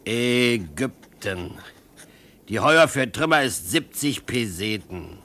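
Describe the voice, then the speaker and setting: A callous ship's captain in a radio drama. The voice is of medium pitch. The delivery is brusque and officious, that of someone with no patience for complaints. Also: male voice, lively intonation, slow, pushed and bright, exaggerated voice. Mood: brusque, businesslike. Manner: curt, bureaucratic, brusque. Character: callous, shifty, impatient male ship's captain. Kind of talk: German radio play acting.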